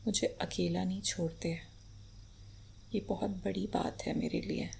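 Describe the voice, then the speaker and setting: A woman playing an affectionate, playful, devoted wife in an intimate romantic ASMR roleplay, speaking tenderly in a breathy half-whisper with light teasing. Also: fairly low pitch, steady tone, measured pace, darkish tone. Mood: tender, earnest. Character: affectionate, playful, devoted Indian wife adoring her husband. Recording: faint background noise.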